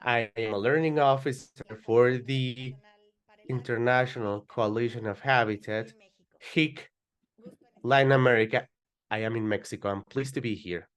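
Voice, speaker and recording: A man's voice: medium pitch, fairly even intonation, moderate pace, smooth. Male interpreter on a remote call, relaying speeches live. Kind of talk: live consecutive interpreting into English.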